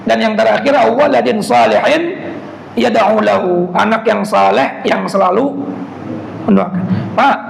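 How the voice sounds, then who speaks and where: A hoarse man, preaching a casual, joking Quran lecture and often asking his congregation to agree. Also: very high voice. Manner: joking, asks the audience "betul nggak?". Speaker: preacher giving a Quran commentary class. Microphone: speaker system. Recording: echoey hall with faint noise.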